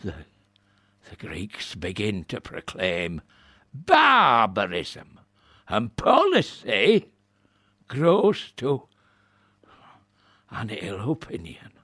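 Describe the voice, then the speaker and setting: A man playing a scurrilous, bitter camp cynic in a Shakespearean audio drama, sneering and muttering in halting phrases. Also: fairly low pitch, huge pitch swings, bright, forceful tone, husky, no room sound, clean studio sound, full character voice. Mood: muttering, uneasy.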